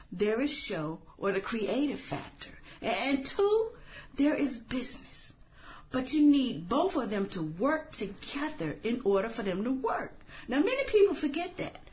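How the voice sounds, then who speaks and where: A woman acting an idealistic, reserved filmmaker in a satirical radio play. Her fairly high voice moves with very lively intonation as she explains things earnestly and a little formally. Some noise sits behind her. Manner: measured, explanatory, slightly formal.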